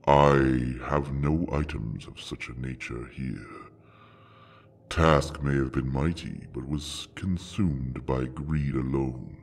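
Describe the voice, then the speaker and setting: A man playing an ancient, bookish dragon, proud and possessive, with a deep gravelly voice and a formal, grandiose way of speaking in a fantasy audio drama. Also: slight background noise, full character voice. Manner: slow, formal, grandiose speech.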